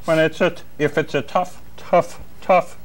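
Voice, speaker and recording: A man acts out an aggrieved, fired air traffic controller in a comedy interview. He talks very slowly and earnestly, with a heavy stutter, getting stuck on words and often clearing his throat. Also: on the high side, fairly even intonation, close to an everyday voice. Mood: halting, earnest. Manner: halting, repeating words, earnest.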